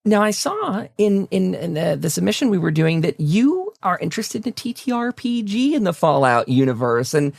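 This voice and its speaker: A man's voice: high-pitched, sing-song, moderate pace, vocal fry, hardly any pauses, dry recording, studio quality. A man hosting a conversational interview, talking in a discursive way and repeating words as he forms his thoughts. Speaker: talk show host, interviewer.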